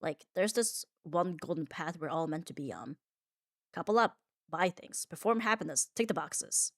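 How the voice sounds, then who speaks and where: A woman giving a calm, reassuring ASMR comfort monologue in an unhurried, soothing way. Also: lowish voice, dry recording, studio quality. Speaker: ASMR audio creator.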